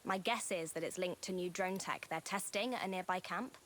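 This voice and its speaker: A cocky young female rebel from a video game voiced by an actress, lowish in pitch, defiant and brash. A faint hiss sits behind her voice. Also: a little fast, fairly bright tone, lightly acted. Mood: confident, businesslike. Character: rebellious, cocky young woman, drone racer and tinkerer. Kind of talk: video game cutscene acting.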